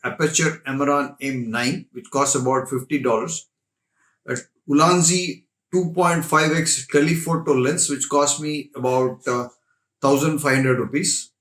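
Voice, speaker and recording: A man's voice: a little high, fairly bright tone, poor audio. A man methodically explaining equipment as the presenter of a tech tutorial.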